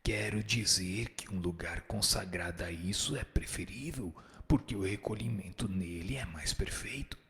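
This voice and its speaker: A man playing a calm, firm spirit teacher who gives measured, didactic answers in a scripted reading, with a breathy, gravelly voice. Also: deep voice. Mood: solemn, instructive. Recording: music bed under the voice.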